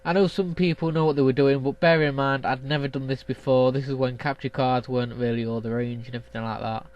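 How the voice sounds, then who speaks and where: A man's voice: mid-range voice, steady tone, quick pace, a little mellow, dull audio. A young man with a stuffy cold, chatting casually and rambling with lots of ums as a gaming vlogger. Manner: rambling, many ums and restarts. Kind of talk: casual chat.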